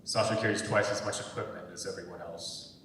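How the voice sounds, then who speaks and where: Young male stage-direction narrator, lowish voice, long hall reverb, scripted delivery. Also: fairly even intonation, a little fast, fairly bright tone. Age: young adult. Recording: very echoey hall, distant mic, faint rumbling noise.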